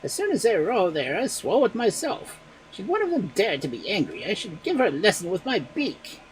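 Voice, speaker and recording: A man's voice: high voice, wildly swooping pitch, fairly quick, slight background noise, pitched above the performer's own voice, low-quality recording. A man voicing a boastful, scornful farm rooster in a fairy tale.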